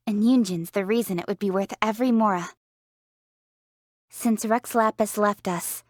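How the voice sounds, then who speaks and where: A woman's voice: mid-range voice, no room sound, studio quality, close to an everyday voice. A young woman voicing a diligent, proud young city official in a video game, speaking in an articulate, assertive, matter-of-fact way. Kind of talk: scripted video game character voice lines.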